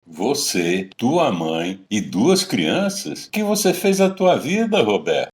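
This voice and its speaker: An actor playing a frank, probing old friend with a Brazilian accent in a radio drama dialogue. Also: male voice, on the low side, sing-song, takes it slowly. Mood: incredulous, sympathetic.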